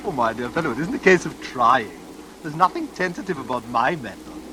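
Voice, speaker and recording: Casting: man playing suave, sinister British know-all for old radio thriller, highly animated intonation, condescending, noisy recording. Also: fairly quick, close to an everyday voice. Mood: slyly confiding. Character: smug, sinister English stranger, self-styled murder expert. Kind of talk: 1940s radio acting. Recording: noisy vintage radio recording, train rumble.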